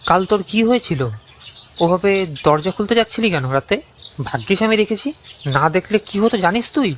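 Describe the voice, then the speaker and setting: A man's voice: high-pitched, animated, a little fast, fairly bright tone, a little hiss or hum. A man playing an anxious, protective young villager who warns his friend urgently and insistently in a cartoon ghost story. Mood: alarmed.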